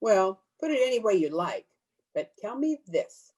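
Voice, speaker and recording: Blunt, disapproving cousin, animated mellow voice, cutting radio-drama delivery, female actor. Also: medium pitch, a little slow. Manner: prim, cutting. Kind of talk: old-time radio drama acting. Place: at home, recorded over video call.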